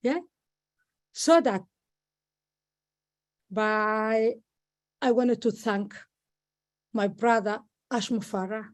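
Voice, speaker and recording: Somali-accented woman on a video call. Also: on the high side. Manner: halting, repeats words, many fillers. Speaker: guest speaker on a video call. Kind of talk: informal speech at an online meeting.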